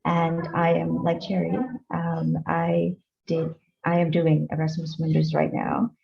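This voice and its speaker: Young woman, super creaky voice, hesitant short bits, muffled lo-fi call audio. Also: sits low, monotone, unhurried, crowd noise. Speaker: master's student panelist.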